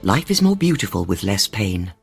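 A man reading a scripted radio ad with a breathy voice. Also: fairly low pitch, sing-song, moderate pace. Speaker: ad announcer. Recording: ad voice over music bed.

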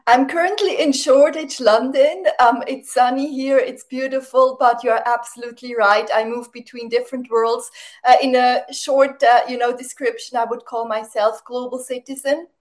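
A woman from Switzerland, native German speaker, talking as a guest in a radio interview, with many "uh" and "um" pauses as she searches for words. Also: a little high, steady tone. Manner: warm-spoken, many fillers, searching for words. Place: remote, not in studio.

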